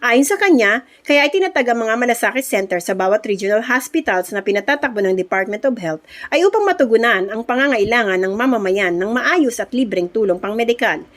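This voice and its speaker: A woman's voice: on the high side, animated, fairly quick. A woman with a Filipino accent reading a scripted news report as a reporter.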